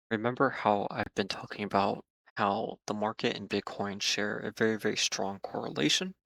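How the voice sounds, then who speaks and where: A man hosting market commentary for viewers, speaking in a measured, cautious way with frequent ums and repeated words while losing his voice. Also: on the low side, vocal fry, fairly bright tone, no echo. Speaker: market analysis host.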